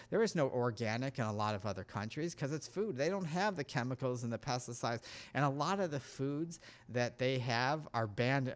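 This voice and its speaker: A man talks at an ordinary middle pitch, in a casual talk-show monologue. He comes across earnest and a little preachy, rambling from point to point. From United States.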